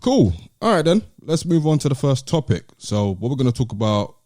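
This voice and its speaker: A man's voice: mid-range voice, very animated, brisk, dark tone, no room sound, clean studio sound. A man with a British London accent, hosting a podcast and casually discussing music with friends. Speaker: podcast host.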